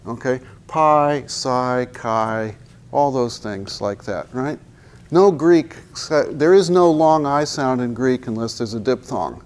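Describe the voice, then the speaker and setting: A man in his sixties giving a university physics lecture in a large hall, wearing a lapel mic, joking and asking the class rhetorical questions as he walks to the chalkboard. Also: medium pitch. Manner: walks and points at the chalkboard while talking.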